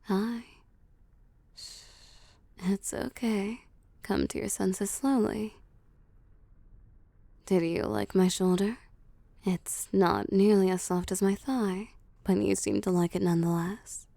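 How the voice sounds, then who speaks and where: A possessive female vampire acted by a woman murmurs to her prey in an intimate ASMR roleplay. She is unhurried and mellow, with a creaky edge, cooing and teasing and often sinking into a whisper. The sound is close to the mic. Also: mid-range voice, no room sound. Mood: tender, teasing. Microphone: close, dry microphone.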